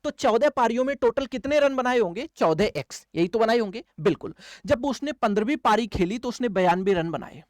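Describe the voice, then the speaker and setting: A man's voice: very high voice, expressive, fairly quick, no echo, clean studio sound. A man teaching maths for exam coaching, explaining step by step, repeating key phrases and answering his own questions. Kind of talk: classroom lecture, step-by-step explaining.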